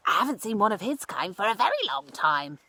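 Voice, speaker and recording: A woman voicing a tiny motherly female harvest mouse in a storybook, brisk, kindly and polite, with a little squeaky voice. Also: big pitch swings, bright, forceful tone, dry recording. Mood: musing.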